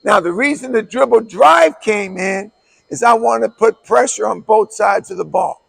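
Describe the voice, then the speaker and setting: A hoarse man coach with a strikingly high voice, heavily gravelly and raspy throughout. He explains things bluntly and emphatically, hammering points home by repeating key words. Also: moderate pace, fairly bright tone. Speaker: basketball coach. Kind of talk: coaching clinic talk.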